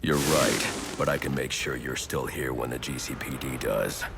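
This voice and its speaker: An actor playing a grim, intimidating masked vigilante in a video game, gravelly, terse and commanding. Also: male voice, a bass voice, steady tone, moderate pace, heavy background noise. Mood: menacing. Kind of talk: dramatic video game acting.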